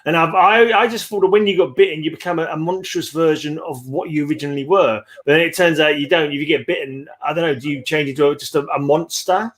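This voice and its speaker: A man's voice: fairly high pitch, fast, few pauses. A man in a casual book discussion, tentative and often rephrasing his thoughts. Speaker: read-along book discussion participant.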